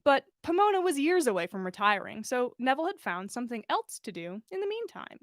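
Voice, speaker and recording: A woman reading fan fiction aloud as expressive story narration, animated, with the odd stumble and self-correction. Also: on the high side, dry recording, clean studio sound. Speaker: podcast host reading listener fan fiction.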